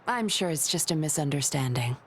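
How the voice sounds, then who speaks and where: An actress playing a cool, sly undercover woman officer in a video game, composed, teasing and smooth-talking. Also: sits low, animated, fairly quick, slight background noise. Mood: smooth, reassuring.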